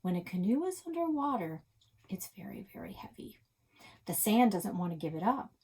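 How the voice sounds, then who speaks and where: Husky woman reading a children's novel aloud. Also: medium pitch, big pitch swings, moderate pace, darkish tone. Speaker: read-aloud reader for a public library. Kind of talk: expressive children's novel read-aloud, first-person child narrator.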